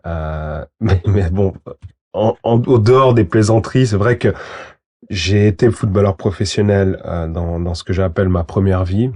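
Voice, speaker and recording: Hesitant low-voiced man. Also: normal speed, dark tone. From Switzerland. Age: 30s. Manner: hesitant, many fillers and restarts. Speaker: guest, lawyer. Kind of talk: interview.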